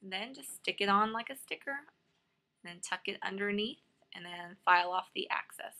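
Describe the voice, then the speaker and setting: This is a woman's voice with a bright, crisp-edged tone, sitting at an average pitch for a woman. She talks viewers through steps in a casual, instructional way. It sounds like an informal tutorial voice-over from a hobby host. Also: moderate pace. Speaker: nail art tutorial host.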